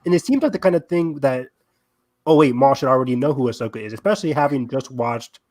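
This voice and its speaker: A man hosts a relaxed fan podcast with an enthusiastic, chatty delivery. His voice sits at an ordinary male pitch, and he talks in a conversational, agreeable way. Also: quick pace. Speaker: podcast host. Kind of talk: casual fan discussion.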